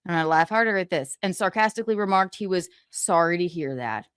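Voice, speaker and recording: A woman's voice: on the low side, animated, quick pace, a bit bright, smooth, dry recording, studio quality. A woman livestreaming, switching between casual chat and reading book passages aloud, with gloating, sarcastic, theatrical emphasis. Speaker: streamer.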